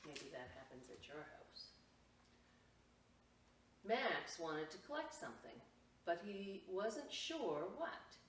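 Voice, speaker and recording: A woman reading a picture book aloud to children as a storytime reader, expressively and with pauses, adding simple explanations for young listeners. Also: lowish voice, very animated. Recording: roomy, distant mic, faint noise.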